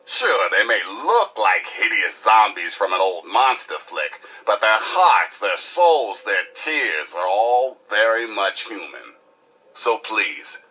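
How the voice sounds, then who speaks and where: A showy American wasteland radio DJ for a video game. His voice is husky yet very forceful, and it swoops widely as he delivers folksy, irreverent mock news and punchy patter. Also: lowish voice, heavily acted, low-quality recording. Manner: theatrical, wisecracking, folksy, drawn-out and punchy. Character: flamboyant, irreverent male post-apocalyptic radio DJ. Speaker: radio DJ and newsreader.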